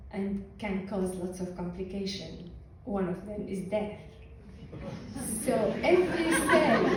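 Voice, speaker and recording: A woman from Syria, a physician giving a wry, humorous storytelling talk with deliberate pauses. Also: medium pitch, a little mellow, slight background noise. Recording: echoey hall from far off.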